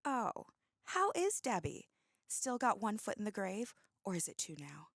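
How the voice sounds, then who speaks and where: A woman's voice: mid-range voice, very animated, a little slow, no room sound, clean studio sound. A woman playing a snide, world-weary radio co-host in an audio drama, sarcastic and exasperated. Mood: scornful, sarcastic.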